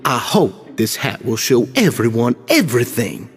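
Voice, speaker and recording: An actor playing an earnest, courteous club founder in a video game, who speaks in a deferential, upbeat and slightly flowery way. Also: male voice, mid-range voice, very animated, moderate pace. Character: earnest middle-aged male club founder, courteous and idealistic.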